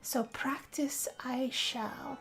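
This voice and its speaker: A woman's voice: high-pitched, fairly even intonation, very slow, creaky, fairly bright tone, in a whisper, full character voice. A woman playing a homesick, dramatic young female music student who complains theatrically in a game role-play narration. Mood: irritated.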